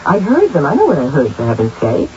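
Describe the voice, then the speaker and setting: A woman's voice: low-pitched, huge pitch swings, quick pace, warm tone. An actress playing a lonely, guilt-ridden, sleepless middle-aged mother in a theatrical, fretful 1970s radio drama style. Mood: weary, insistent. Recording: dull, faintly noisy vintage radio recording.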